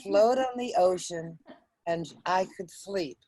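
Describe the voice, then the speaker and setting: Slow, mellow-voiced woman, reflective. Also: on the low side, no room sound, poor audio. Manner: hesitant, reflective, halting. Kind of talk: poem reading and casual personal recollection.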